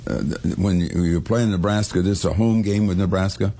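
Deep-voiced male co-host. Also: steady tone, fairly quick, warm tone. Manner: casual questioning.

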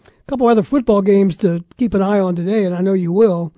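Mellow American sportscaster, man, dry close sound. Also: high-pitched, fast, no echo. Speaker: sports radio talk show host. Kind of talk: casual sports talk and score rundown.

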